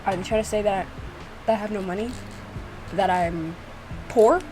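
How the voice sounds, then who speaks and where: A woman's voice: medium pitch, moderate pace, a little mellow, low-quality recording. A woman giving casual reaction commentary on her own work, reading bits aloud in a giggly, self-mocking way. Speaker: video creator reacting to own visual novel. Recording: music behind the voice. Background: music.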